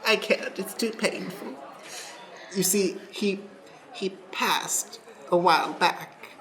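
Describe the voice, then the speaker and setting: A woman in an improvised comedy radio play, playing a creepy, grieving old restaurant owner with halting, melodramatic delivery. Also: medium pitch, a bit bright. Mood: mournful. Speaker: improv actor.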